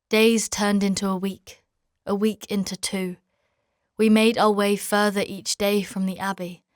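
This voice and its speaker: A woman playing a stern, devout knight in a dark fantasy audio drama, speaking and narrating in a formal, measured, archaic way. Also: medium pitch, no echo, clean studio sound, lightly acted. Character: battle-weary female knight, stern, devout, formal, solemn narrator of her quest.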